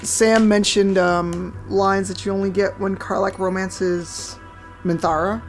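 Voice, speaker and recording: A woman streaming a game, chatting casually with a hoarse, croaky voice and a wry, chatty manner. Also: mid-range voice, fairly even intonation, unhurried, soft background music. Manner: chatty, wry, reads chat aloud. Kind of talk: casual live-stream chat while gaming.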